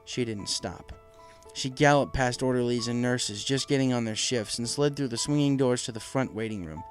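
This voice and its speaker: A man's voice: medium pitch, normal speed. Male story narrator over music. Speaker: fan fiction reader, narrator.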